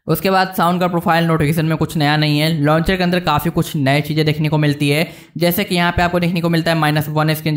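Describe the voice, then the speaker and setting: An Indian man narrating a tech review walkthrough as channel host, explaining things informally and speaking straight to viewers. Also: fairly high pitch. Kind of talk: casual screen-walkthrough narration of a phone software review.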